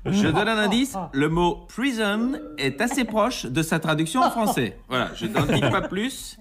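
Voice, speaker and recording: A comedian presenting a joke quiz on a radio show in a jokey, deadpan way. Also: mid-range voice, unhurried. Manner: jokey, deadpan quiz delivery with bursts of laughter. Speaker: radio columnist. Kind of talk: comic radio bit.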